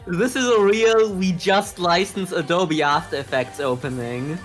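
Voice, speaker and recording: A man's voice: high-pitched, unhurried, faint music behind. Sarcastic man co-hosting a casual podcast. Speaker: podcast co-host. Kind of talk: casual, joking chat and reactions.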